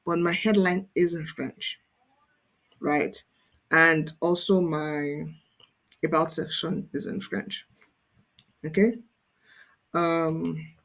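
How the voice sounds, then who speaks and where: A woman presenting an online tutorial as a coach, explaining conversationally and emphatically, often checking in with the listener. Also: lowish voice. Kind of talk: instructional screen-share tutorial, conversational.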